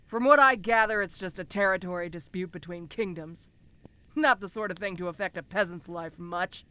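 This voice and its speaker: A woman's voice: on the low side, fairly bright tone, dry recording. A woman voicing a tough, domineering giantess ogre in a fantasy audio roleplay, talking to the listener in a casual, teasing, condescending way.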